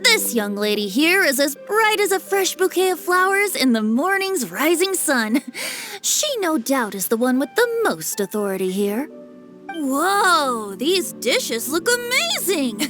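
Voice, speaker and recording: A woman's voice: high voice, full character voice. A woman voicing a playful young male bard in an anime game, with a light boyish voice and flowery theatrical delivery. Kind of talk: anime game dub acting.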